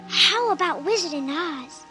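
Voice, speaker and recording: An actress playing an eager little child in a 1950s radio drama. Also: female voice, very high voice, expressive, measured pace. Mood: eager, pleading. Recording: vintage 1950s radio, music behind.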